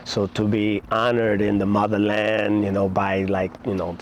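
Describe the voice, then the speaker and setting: A middle-aged man, talking casually and haltingly in an interview as a martial arts instructor, with many pauses. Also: on the low side, level tone, slight background noise. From Puerto Rico-born, raised in New York City.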